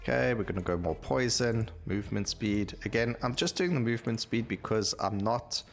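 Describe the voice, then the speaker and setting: A man's voice: fairly low pitch, normal speed, vocal fry. A man giving casual gameplay commentary, rambling and thinking aloud as he plays. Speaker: gaming video host. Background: game music.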